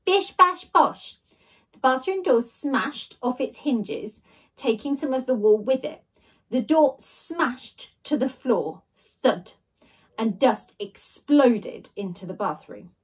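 A woman's voice: high-pitched, animated, takes it slowly, slightly rough, poor audio. A woman in her thirties, a teacher, reading a storybook expressively and chatting casually. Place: small bedroom at home, indoors. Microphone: device camera at desk distance.

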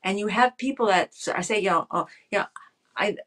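A woman in her fifties, a political scientist, talking thoughtfully over a video call from a room at home, often pausing to restart her phrases. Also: medium pitch, fairly bright tone. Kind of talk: casual video call interview. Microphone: video call webcam.

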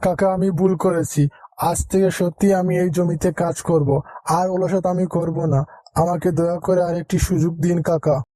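A man's voice: high-pitched, steady tone, measured pace, dark tone, dry recording. A man playing a lazy, meek farmer's son who talks pleadingly in a storybook tale. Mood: apologetic pleading.

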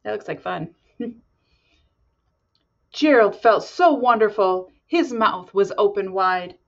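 A woman, reading a children's picture book aloud expressively and deliberately. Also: medium pitch, vocal fry, highs cut off. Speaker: volunteer storybook reader. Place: small office indoors.

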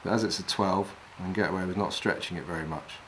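A middle-aged man from England calmly talking through each step of tying a fishing fly while he works with his hands. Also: sits low, quick pace, a little hiss or hum. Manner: calm, practical, talks while working with his hands. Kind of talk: step-by-step demonstration. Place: wooden lodge room, indoors.

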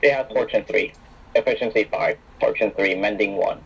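Young male gamer, halting, husky, lo-fi headset sound. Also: fairly low pitch, a bit bright, far from the mic. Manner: hesitant, many ums. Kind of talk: casual gaming chat with friends.

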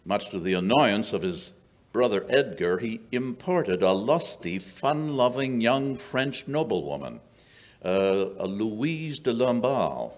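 Older man playing a lively, low-voiced detective. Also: measured pace. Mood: expository. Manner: precise, didactic, declamatory. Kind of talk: old-time radio drama acting, scripted. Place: small indoor stage. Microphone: stand microphone.

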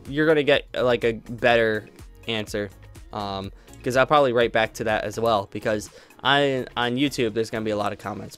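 A man's voice: medium pitch, a bit bright. Rambling young man with faint music. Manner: rambling, lots of fillers and restarts. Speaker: YouTube roleplay creator. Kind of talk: casual talk to viewers.

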